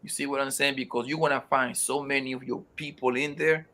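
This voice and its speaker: A man talking casually as a podcast co-host, animated and swearing freely, calling the other man bro. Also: mid-range voice, fairly quick. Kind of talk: casual conversation.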